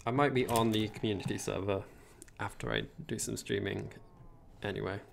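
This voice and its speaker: Chatty young English guy, gravelly low voice. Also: steady tone, moderate pace, slight background noise. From England. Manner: chatty, rambling, reads chat aloud. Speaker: streamer. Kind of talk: casual livestream chat while gaming.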